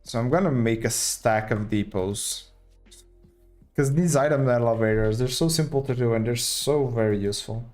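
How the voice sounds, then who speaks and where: A man's voice: mid-range voice, moderate pace, a little mellow, soft background music. A young man from Portugal, casually thinking aloud as he live-streams a building game. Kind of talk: live gameplay commentary.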